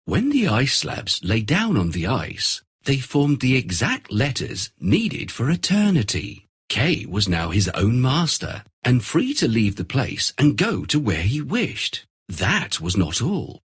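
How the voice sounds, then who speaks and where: A man reading a scripted fairy tale as storyteller, in a dramatic, expressive manner. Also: medium pitch, slow pace, few pauses, breathy edge.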